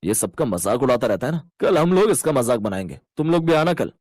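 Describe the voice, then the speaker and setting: An earnest young male office worker voiced by a man in a cartoon story. He talks plainly, with a lively pitch and a dry, close sound. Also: a little fast, dry recording.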